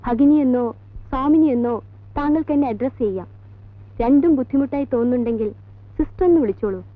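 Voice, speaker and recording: A composed, firm nun in an old film drama. Her voice is fairly high and formal, courteous but unbending, caught close and dry on a tinny soundtrack. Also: fast talker, dry recording. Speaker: actor in a film. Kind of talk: 1980s film drama acting.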